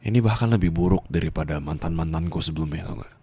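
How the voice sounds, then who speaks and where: A young man voicing an angry, heartbroken young man who scolds his partner, swinging from shouting to low bitter muttering, in an ASMR roleplay. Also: fast, slight background noise. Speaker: roleplay voice actor.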